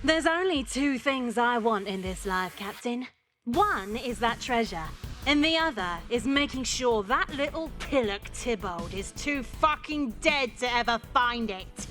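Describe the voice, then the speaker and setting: A cocky pirate woman for a video game, pitched fairly high and speaking slowly. She is crude and taunting, with menace under every unhurried phrase, over faint music. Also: animated, fairly bright tone. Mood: intense, scheming. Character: cocky, menacing female pirate with one eye.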